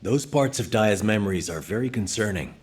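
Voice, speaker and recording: An analytical military strategist, acted by a man in a video game. His voice is fairly low, and he lays things out carefully in a measured, formal manner. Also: expressive, darkish tone, natural voice. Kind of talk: game cutscene dialogue.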